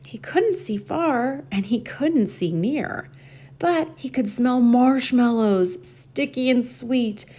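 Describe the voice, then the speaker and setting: A woman reading a rhyming children's picture book aloud in an expressive storytelling style, drawing out key words. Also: a little high, slow pace. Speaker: storybook reader and host.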